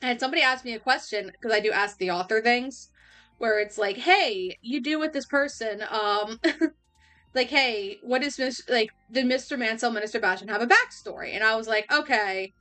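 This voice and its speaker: Giggly, bright-voiced young woman streamer. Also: fairly high pitch, moderate pace, faint music behind. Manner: giggly, laughs often, rambling with restarts. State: losing her voice. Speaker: livestreamer. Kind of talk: casual chat.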